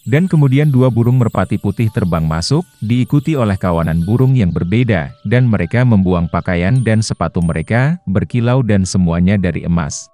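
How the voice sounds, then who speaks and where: A man's voice: on the low side, lively intonation, moderate pace, mellow. A man reading a scripted fairy tale as a storyteller for children, with music behind him. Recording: roomy echo under faint music. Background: faint background music.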